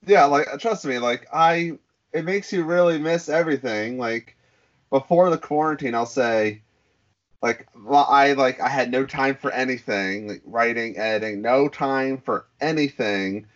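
A man co-hosting a casual podcast, relaxed and wry, with lots of fillers. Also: mid-range voice, a little slow. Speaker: podcast co-host. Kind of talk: casual banter. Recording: dull lo-fi sound.

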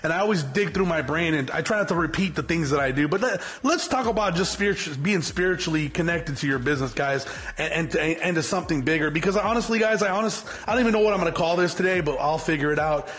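A man's voice: fairly high pitch, lively intonation, fast talker, few pauses, fairly bright tone. A man in his forties giving an unscripted motivational talk to his viewers. He talks in a blunt, fiery way, swears freely and often restarts his sentences. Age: 40s. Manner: rambling, repetitive, frequent swearing and restarts. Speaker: motivational vlogger, business owner.

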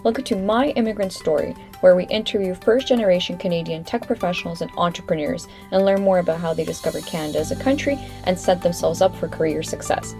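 A woman's voice: lowish voice, fast, few pauses, darkish tone, soft background music. A woman hosting an interview podcast, asking questions in a friendly, upbeat way. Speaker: podcast host.

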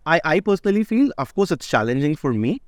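Founder guy talking on a podcast, emphatic, pitch jumps all over, dry sound. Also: quick pace, no room sound. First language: not Indonesian. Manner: animated, emphatic, keeps asking "right?". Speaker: startup founder and CEO, guest. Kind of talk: casual podcast interview.

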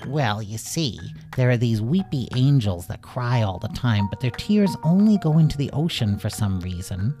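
Storyteller as an animal father, mellow, mild, soft, halting explanations, storybook character. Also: mid-range voice, sing-song, soft background music, natural voice.

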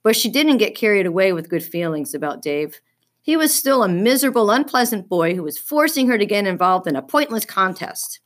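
A woman reading a children's book aloud as an expressive storyteller. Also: medium pitch, fairly quick.

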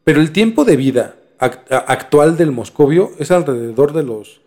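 A man's voice: fairly high pitch, animated, normal speed, a little mellow. A man with a Mexican accent explaining things in casual conversation as a podcast co-host, informally and repeating words for emphasis.

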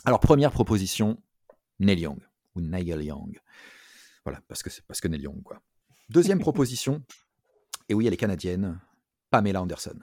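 Man from France, podcast host, low voice, dry close sound, casual and jokey, repeats words. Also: very animated, normal speed, pauses often, mellow, no echo. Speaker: host of a culture show. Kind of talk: casual chatty podcast hosting.

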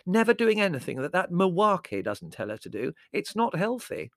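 A man's voice: high-pitched, sing-song, normal speed, a bit bright, dry recording, studio quality, pitched above the performer's own voice, exaggerated voice. A man voicing a fussy, nervy middle-aged woman who talks in a hissing, disapproving way. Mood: scandalised. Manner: hissing, scandalised.

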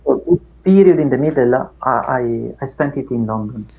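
A middle-aged man with an Italian accent, Italian his first language, talking through his past in an unhurried interview with many fillers and restarts. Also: mid-range voice, expressive, creaky, narrow, tinny audio. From Italy. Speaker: academic guest. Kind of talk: reflective interview chat.

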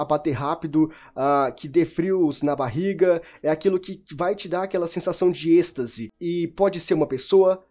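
Casual man talking books, mellow voice. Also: on the high side. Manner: informal, rambling with restarts and self-corrections. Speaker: book reviewer. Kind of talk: casual spoken book discussion.

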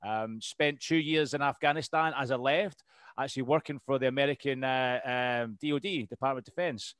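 A Scottish man in his thirties talking as an interview guest, with a rambling, easygoing way of speaking full of ums and restarts. His voice sits at an everyday middle pitch. The sound is close and dry, with no room echo. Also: fairly even intonation, normal speed, fairly bright tone, smooth. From Scotland. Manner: rambling, lots of ums and restarts, laughs easily. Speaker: podcast guest, RAF veteran. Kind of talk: casual interview chat.

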